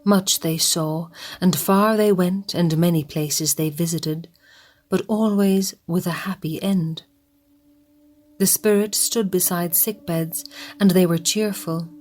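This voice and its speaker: A woman narrates a radio play in a slow, even way, sometimes dropping to a hush. Her voice is fairly low. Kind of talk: scripted storytelling narration.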